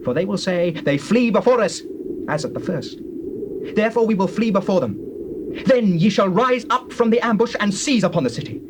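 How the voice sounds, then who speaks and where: High-voiced, solemn Israelite leader Joshua. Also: male voice, expressive, normal speed. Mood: commanding. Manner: solemn, declamatory, authoritative. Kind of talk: dramatized scripture reading. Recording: noisy old cassette tape.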